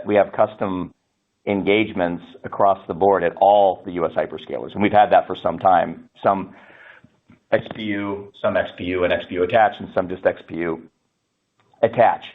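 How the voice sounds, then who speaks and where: A man speaking as a company executive answering analyst questions on an earnings call, halting and repeating words as he builds his answers. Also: sits low, fairly even intonation. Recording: phone-like narrowband conference call audio.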